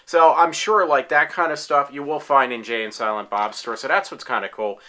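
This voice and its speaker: Man, late forties, bright-toned, rambling fan talking casually from his room at home. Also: mid-range voice, fairly even intonation, moderate pace. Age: 40s. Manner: rambling, many fillers and restarts; enthusiastic fan. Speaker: applicant in a tryout video. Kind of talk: casual self-recorded talk.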